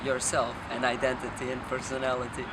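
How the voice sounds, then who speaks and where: A man's voice: mid-range voice, completely flat delivery. A man rambling philosophically off the cuff, searching for words with hesitations and some swearing. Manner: halting, searching, profane. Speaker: documentary film subject. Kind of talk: unscripted rambling philosophical musing. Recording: noisy outdoor street with traffic.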